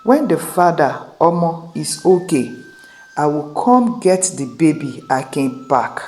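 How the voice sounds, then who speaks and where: A woman's voice: low voice, sing-song, measured pace, mellow. Woman acting a firm, pleading elderly grandmother. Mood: grateful, pleading. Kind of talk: acted folktale dialogue.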